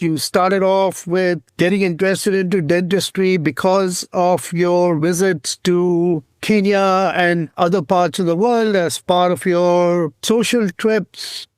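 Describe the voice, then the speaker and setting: A man hosting a podcast, introducing a guest and asking long, measured questions. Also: high-pitched, smooth, no room sound, studio quality. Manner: measured, leading questions with a gentle lead-in. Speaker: podcast host. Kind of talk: interview questions.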